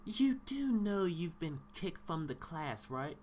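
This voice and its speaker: A man's voice: fairly high pitch, sing-song, slow pace, darkish tone, slight background noise. A young man voicing a curt, bossy female college teacher in a spoken storytime anecdote, insistent and scolding.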